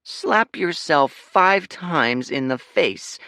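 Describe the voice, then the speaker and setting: A man's voice: expressive, extremely slow, pushed and bright, smooth, no room sound, clean studio sound, heavily acted. A man voicing a pompous, whiny young noble boy who yelps and snivels. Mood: indignant. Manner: shrill, yelping and sniveling.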